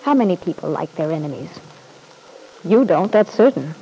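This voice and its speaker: A woman's voice: low-pitched, lively intonation, moderate pace, warm tone, poor audio. An actress playing a ruthless, scornful young mother in a vintage radio soap, cutting and coolly self-assured. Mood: cold, defiant. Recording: old radio recording with faint hiss.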